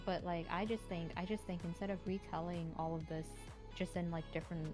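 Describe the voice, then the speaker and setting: A woman with a slightly low voice talks casually on a livestream. She is opinionated and loose in her phrasing, leaning on "like" as she goes. Soft music sits behind her. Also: fairly even intonation, a little fast. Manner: opinionated, filler-heavy with frequent "like". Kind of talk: casual chat.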